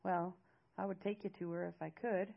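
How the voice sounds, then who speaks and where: A woman voicing a gruff, protective old rural man in an audiobook, with a folksy drawl. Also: sits high, flat delivery, moderate pace, muffled recording. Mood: weary, folksy. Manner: folksy drawl with rustic grammar.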